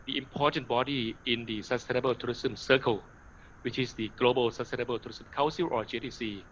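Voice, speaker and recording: A man giving an online lecture, pausing often with fillers. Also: mid-range voice, a bit bright, slight background noise, poor audio. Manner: hesitant, many fillers, slides in Thai.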